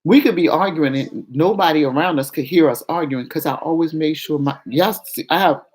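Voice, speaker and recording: Frank woman with a deep, projecting voice. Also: brisk, darkish tone, breathy edge. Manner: frank and confessional, addresses viewers as y'all. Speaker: vlogger. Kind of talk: candid casual talk to viewers.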